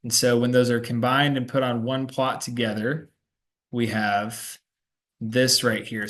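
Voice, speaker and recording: A man's voice: mid-range voice, a bit bright. A young man giving a technical student presentation. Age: young adult.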